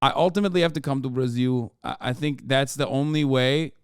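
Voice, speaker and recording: A man's voice: medium pitch, a little fast, smooth, no room sound, clean studio sound. A man streaming live, reacting to clips and articles in a blunt, profane, self-mocking way.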